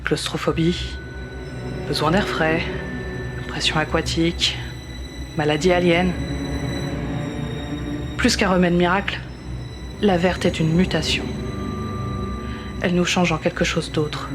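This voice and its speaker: A man's voice: a little high, little pitch movement, slow, pauses often, over music. A man giving solemn scripted narration for a science-fiction audio drama, in clipped phrases.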